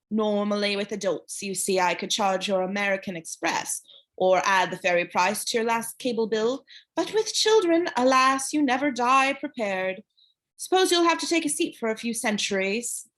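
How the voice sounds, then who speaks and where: A woman voicing a smug, greedy male ferryman of the dead with a vaguely British, foreign-tinged accent, speaking slowly in a sweet, cold way. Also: on the high side, animated, a bit bright. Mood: silky, mocking. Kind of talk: audiobook character reading.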